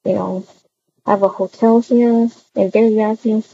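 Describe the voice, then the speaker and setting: Woman, mellow and unhurried, halting improvised game roleplay, slightly noisy dull recording. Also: mid-range voice, fairly even intonation, gravelly. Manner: hesitant, halting, with many hums. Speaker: roleplay video creator. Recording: dull muffled audio, faint noise.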